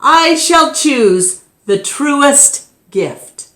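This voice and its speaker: A woman voicing a solemn, kindly old male keeper in a storybook, proclaiming in a grand, deliberate way. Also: high-pitched, expressive, a bit bright, pitched above the performer's own voice, heavily acted. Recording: echoey room.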